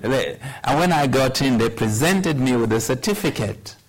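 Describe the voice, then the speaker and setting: A man in his sixties preaching a sermon, telling a personal story in a measured way with wry humor. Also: mid-range voice, lively intonation, faint music behind. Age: 60s. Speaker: pastor.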